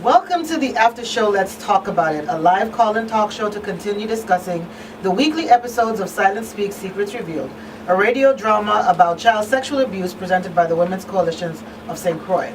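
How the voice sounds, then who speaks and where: A woman's voice: medium pitch, expressive, brisk. A woman hosting a live call-in radio talk show. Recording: slightly distant, faint noise.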